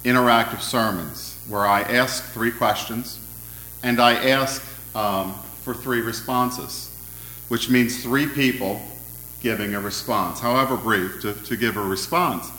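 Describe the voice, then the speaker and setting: Loud-voiced preacher in an echoey hall. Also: male voice, medium pitch, measured pace. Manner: friendly, asks the congregation questions. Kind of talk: interactive sermon. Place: church hall.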